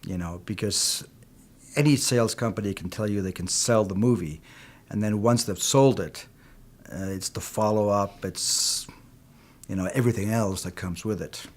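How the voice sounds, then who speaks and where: A middle-aged man from Peru whose first language is Spanish, answering interview questions as a film producer and distributor in a measured, reflective way, often adding "you know". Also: lowish voice.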